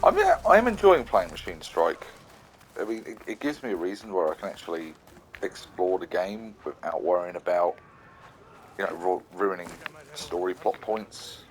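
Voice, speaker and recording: A man with a cold and a rough throat, chatting casually and rambling as he narrates his gameplay. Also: low-pitched, big pitch swings, normal speed, slight background noise, low-quality recording. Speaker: gaming channel host.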